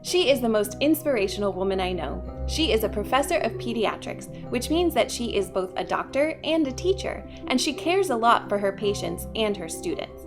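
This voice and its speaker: A woman presenter reading a prepared script about inspiring women in a steady manner. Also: on the high side, moderate pace. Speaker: library staff presenter. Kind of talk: scripted narration. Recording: music playing underneath.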